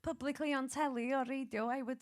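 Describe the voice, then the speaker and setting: A woman's voice: high-pitched, fairly even intonation, a little fast. A woman in her forties with a Welsh accent, a singer speaking as a panel guest, earnest and reflective, with light laughter. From Wales. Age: 40s. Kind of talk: panel discussion.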